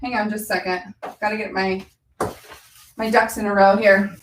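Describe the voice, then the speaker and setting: A woman hosting a casual crafting livestream, chatty and gushing with enthusiasm, with a low-pitched voice. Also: little pitch movement, normal speed, a little hiss or hum, poor audio. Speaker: host.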